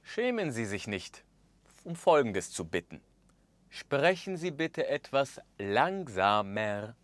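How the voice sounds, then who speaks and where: Lively man presenting a language lesson. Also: medium pitch, slow, no room sound. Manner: expressive, gesturing, acts out example phrases. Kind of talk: scripted lesson narration. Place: studio with orange backdrop.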